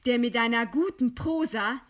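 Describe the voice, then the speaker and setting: A woman's voice: on the high side, normal speed, natural voice. An actress playing a proud, scornful woman intellectual defying her executioner in eloquent, theatrical radio play declamation. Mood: bitter, mocking.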